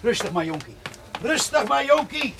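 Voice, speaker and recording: A man's voice: very high voice, big pitch swings, normal speed, creaky, background noise, exaggerated voice. An actor playing a kindly, hesitant man in a radio drama, faltering as he consoles. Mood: reluctant, soothing. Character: hesitant, kindly man gently breaking bad news.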